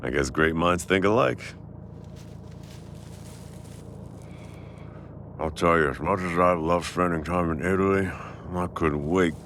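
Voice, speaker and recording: A man playing a warm, teasing restaurant owner with a secret crush in a casual, intimate boyfriend audio roleplay. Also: sits low, normal speed, a little hiss or hum. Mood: friendly, easygoing.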